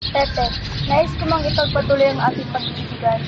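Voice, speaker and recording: A woman plays a young sweetheart in a historical drama, high-pitched, earnest and full of sorrow. Very loud music plays under her voice. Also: normal speed, muffled recording, close to an everyday voice. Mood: sorrowful, resigned. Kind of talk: amateur historical drama dialogue.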